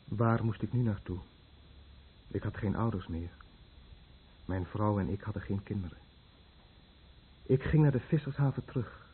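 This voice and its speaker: An actor playing an anguished, guilt-ridden fisherman scarred by fallout, in a Dutch radio play with grave, measured delivery. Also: fairly low pitch, close to an everyday voice. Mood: weary recounting. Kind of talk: Dutch radio play acting, dramatic narration. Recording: muffled, narrow-band old radio recording with noise.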